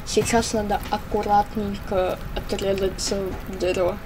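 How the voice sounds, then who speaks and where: A woman talks casually over her gameplay, tossing in ironic little jokes. She speaks at a middle pitch into a home desktop mic. A loud wash of noise runs under her voice the whole time. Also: flat delivery, a little slow. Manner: chatty, joking asides with ironic "in quotes". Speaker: gameplay video creator.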